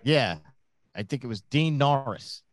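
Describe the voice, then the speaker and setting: A man's voice: mid-range voice, unhurried, dry recording. A man in a small home studio, a podcast guest in easygoing casual chat over a video call. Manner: easygoing, brief agreeing replies.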